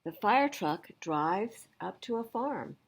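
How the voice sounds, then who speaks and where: A woman's voice: mid-range voice, big pitch swings, slow pace, vocal fry, breathy edge. Woman reading aloud to children. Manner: explains things simply to young listeners. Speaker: children's library storyteller. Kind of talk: read-aloud picture book storytelling with asides to children.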